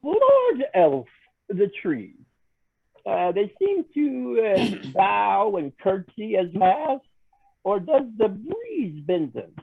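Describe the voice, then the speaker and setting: A slow, halting little hobbit, acted by a man in a fantasy script reading. The voice is husky and swings wildly in pitch, full of hesitant wonder, over tinny, muffled audio. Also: wildly swooping pitch, heavily acted. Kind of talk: table read of a fantasy script.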